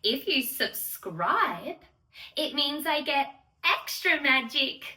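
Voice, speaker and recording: A sweet young fairy played by a woman tells bedtime stories in a very high, sing-song voice that swoops up and down. She gushes straight to little children, excitable and unhurried. The sound is roomy and a little far from the microphone. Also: full character voice. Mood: playful, excited. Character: sweet, excitable young female storytelling fairy who loves bubbles. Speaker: children's storyteller and channel host. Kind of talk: children's bedtime story read-aloud. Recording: roomy echo, distant microphone.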